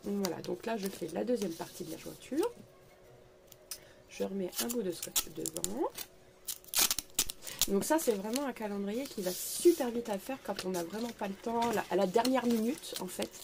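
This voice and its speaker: A woman's voice: medium pitch, expressive, moderate pace, vocal fry, noisy background. A middle-aged woman with a cold, chatting through a home craft tutorial with lots of fillers. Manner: chatty, repeats "tout simplement" and "voilà", many fillers. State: congested with a cold. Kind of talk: casual craft tutorial narration. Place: living room at home.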